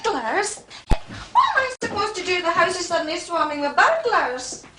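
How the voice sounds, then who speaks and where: An actress playing a chatty, dreamy, romantic young housemaid with a Scottish accent in a period mystery drama. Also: female voice, very high-pitched, very animated, moderate pace, very noisy. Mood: flustered. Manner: gushing, chatty. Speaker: actor.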